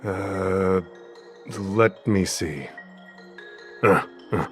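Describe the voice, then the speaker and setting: Bumbling, unsure bureaucrat in a game; deep voice, sluggish halting delivery. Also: male voice, a bit bright, soft background music, heavily acted. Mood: hesitant, pondering. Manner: hesitant, drawn-out. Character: slow, dithering male official. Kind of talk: video game voice acting.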